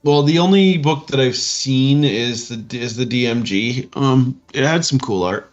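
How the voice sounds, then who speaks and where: A man co-hosting a podcast in casual chat, hesitant and low-key, on a dull microphone. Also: a little slow, faint music behind. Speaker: podcast co-host.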